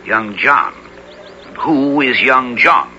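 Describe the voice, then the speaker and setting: An actor playing a cold, ruthless lord with a curt, imperious manner in a radio drama. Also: male voice, medium pitch, lively intonation, extremely slow, pushed and bright, dull audio, exaggerated voice. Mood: grim, curt. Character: ruthless, grim knight lord, commanding and cold. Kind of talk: 1970s radio drama acting. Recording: faintly hissy old radio broadcast.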